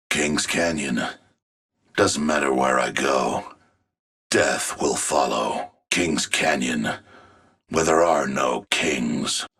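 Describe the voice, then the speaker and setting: A death-obsessed video game villain, speaks in a rough, extremely deep voice. He takes his time with every phrase, dripping with disdain. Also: fairly bright tone, heavily acted. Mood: ominous. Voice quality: husky, very deep. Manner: slow, disdainful, ominous. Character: grim, contemptuous male villain obsessed with death. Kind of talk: video game character lines.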